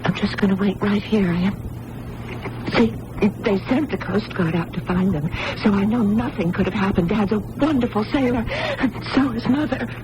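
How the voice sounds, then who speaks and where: A woman's voice: medium pitch, normal speed, highs cut off, natural voice. An actress playing a confused, fragile young woman in hospital with amnesia, childlike and earnest, in old-time radio drama style. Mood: distressed, desperate. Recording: noisy vintage radio broadcast recording.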